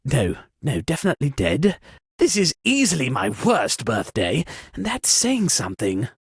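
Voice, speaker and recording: An actor playing a flustered, spoiled young lord in a comedic video game, theatrical, whiny and pompous. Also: male voice, medium pitch, huge pitch swings, normal speed, vocal fry, slightly rough, no echo, studio quality, heavily acted. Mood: exasperated, dismayed. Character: flustered, spoiled rich young lord hosting a murder party. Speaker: game host.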